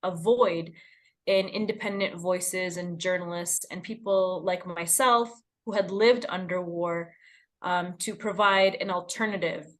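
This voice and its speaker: Woman in her 30s answering interview questions, earnest and measured, lowish voice. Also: fairly even intonation. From United States. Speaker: guest, news outlet founder.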